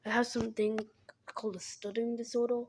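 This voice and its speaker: A young woman who speaks haltingly, often repeating and restarting her words, as she talks casually to her viewers. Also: fairly high pitch, flat delivery, a little mellow, slight background noise. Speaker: animation creator. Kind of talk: casual vlog appeal.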